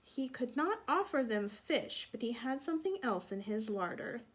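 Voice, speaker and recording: A woman with a fairly high voice reads a children's storybook aloud. She is expressive, leaning into the sound words and speaking directly to her young listeners. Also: moderate pace, husky. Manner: expressive, with sound-effect emphasis and questions to listeners.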